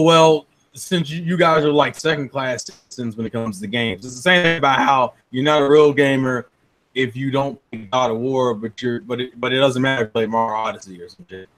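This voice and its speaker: Man, quick talker, medium pitch, blunt, argumentative, casual podcast co-host. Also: fairly bright tone, rough recording. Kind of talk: casual, opinionated podcast banter.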